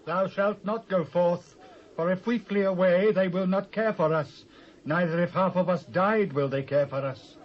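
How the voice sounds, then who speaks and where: A British man playing a forceful, urgent ancient soldier in a dramatised scripture reading in archaic English. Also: high voice, normal speed, lightly acted. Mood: urgent, insistent. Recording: muffled cassette transfer with faint noise.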